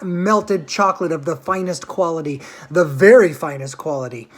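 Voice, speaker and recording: A woman reading the part of an eccentric, excitable chocolate maker in a grand, gushing, theatrical voice. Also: medium pitch, lightly acted.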